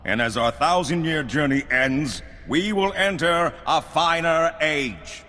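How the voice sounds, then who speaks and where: An actor playing a grave, grandiose leader of a secret cabal in a sci-fi game, with a solemn oratorical delivery and a husky voice. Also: male voice, on the high side, slow, pushed and bright. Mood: solemn, resolute. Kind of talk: scripted sci-fi game acting. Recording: long hall reverb, music behind.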